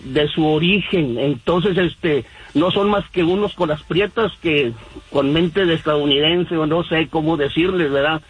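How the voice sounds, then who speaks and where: A man's voice: on the high side, husky, poor audio. A man from Mexico, calling in to a radio show and talking in a rambling way with many fillers. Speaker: caller. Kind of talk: phone-in radio call. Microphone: phone line.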